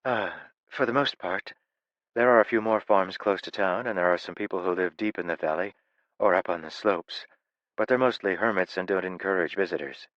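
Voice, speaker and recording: A man's voice: low-pitched, normal speed, fairly bright tone, dry recording, highs cut off, voice pushed up. A man voicing a cautious, sensible young woman in an audiobook, speaking in a cautious, patient way.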